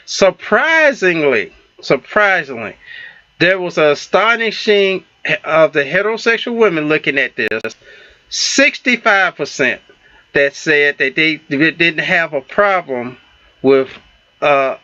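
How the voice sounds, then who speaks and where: Southern man with bright, dull-sounding audio. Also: on the high side, animated, no echo. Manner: halting, many fillers and restarts, laughs easily. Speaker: talk show host. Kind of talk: casual radio talk show chat.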